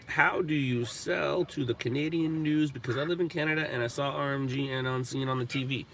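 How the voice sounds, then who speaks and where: A man's voice: medium pitch, fairly quick. A middle-aged man hosting a live stream from his car, stuffed up and sniffling, chatting loosely as he answers viewer questions. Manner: rambling, answers chat questions out loud. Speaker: live stream host reading viewer chat. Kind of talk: casual chat. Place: inside a car while driving.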